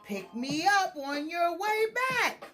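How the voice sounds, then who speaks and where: A woman voicing a slow, meek, resigned male porcupine in a children's storybook, with a downbeat manner. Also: extremely high pitch, big pitch swings, pushed and bright, soft background music, pitched above the performer's own voice, full character voice.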